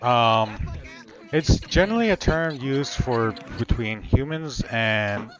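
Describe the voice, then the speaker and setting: A man's voice: medium pitch, animated, slow pace, highs cut off. A man improvising in an online roleplay chat, explaining things in a hesitant way. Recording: music behind the voice.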